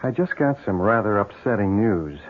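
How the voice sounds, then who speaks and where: An actor playing a concerned, reasonable family friend in a 1970s radio drama, measured and sympathetic. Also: male voice, lowish voice, expressive, a little hiss or hum, close to an everyday voice. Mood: glum, concerned. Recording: muffled old radio broadcast.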